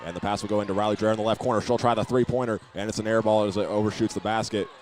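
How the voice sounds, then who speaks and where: Male basketball play-by-play announcer, lowish voice with little inflection, plain delivery with the odd stumble, over noisy gym sound. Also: steady tone, very fast, a little mellow. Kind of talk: live basketball play-by-play commentary. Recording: noisy gym ambience behind the voice.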